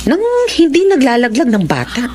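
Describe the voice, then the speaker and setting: An actress playing a calm, supportive older sister. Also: female voice, a little high, normal speed. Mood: warm. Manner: calm, reassuring.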